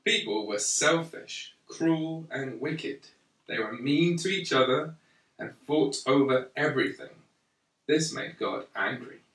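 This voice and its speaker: Slow-paced man reading aloud, bright and echoey. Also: medium pitch, lively intonation. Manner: measured and deliberate, with pauses between phrases. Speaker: storyteller reading a Bible picture book to a young child. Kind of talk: read-aloud children's storytelling. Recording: roomy, echoey, slightly distant microphone.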